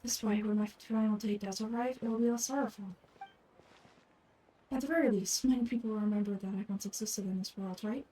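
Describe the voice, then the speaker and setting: A woman voicing a gentle, frail young woman in a fantasy game, speaking in a soft, measured, wistful and earnest way. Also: medium pitch, slight background noise, close to an everyday voice, rough recording.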